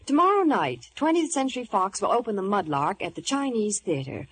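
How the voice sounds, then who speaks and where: Animated vintage radio pitchwoman. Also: a little fast. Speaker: radio movie news reporter and pitchwoman. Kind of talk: scripted 1950s radio advertising. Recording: noisy vintage radio broadcast.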